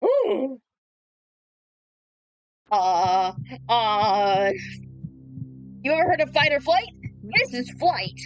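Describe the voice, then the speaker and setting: A woman's voice: fairly high pitch, big pitch swings, extremely slow, pauses often, dull audio. Playful woman streamer with music behind her. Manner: playful, reacts aloud to the story. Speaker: livestream host. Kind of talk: reading a visual novel aloud with casual asides. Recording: background music under the voice.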